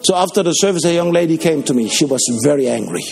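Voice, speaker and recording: A man preaching in a church, his voice gravelly and fairly high. He swings widely in pitch as he tells stories and exhorts the congregation, with faint instrumental music playing behind him. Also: lively intonation, fast, darkish tone. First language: Danish. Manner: fervent, storytelling, with rising exhortations. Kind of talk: sermon. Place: church service.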